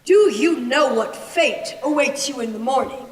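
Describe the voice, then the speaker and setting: Young teen doing bitter bossy sultan, slow high voice, school stage, echoey hall. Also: animated. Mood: cold, commanding. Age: young teenager. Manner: imperious, deliberate. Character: embittered, cruel, melancholy Sultan, commanding and threatening. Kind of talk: school stage play acting.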